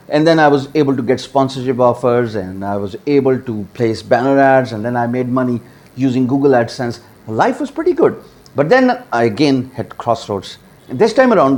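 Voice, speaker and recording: Emphatic man from Pakistan, uh-filled. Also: mid-range voice. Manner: lively, emphatic, frequent uh fillers. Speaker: freelancer and YouTuber. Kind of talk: direct-to-camera personal story. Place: small home studio office, indoors. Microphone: small lapel mic.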